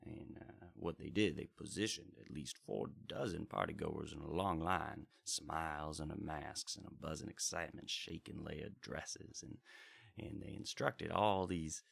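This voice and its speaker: A man's voice: rumbling low, gravelly, fairly bright tone, slightly rough, no room sound, full character voice. A man playing a genteel, curious wandering bard, telling first-person stories in wordy, hesitant, old-fashioned formal phrasing for a radio drama. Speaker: host and storyteller of a radio drama series. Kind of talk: in-character first-person storytelling over music.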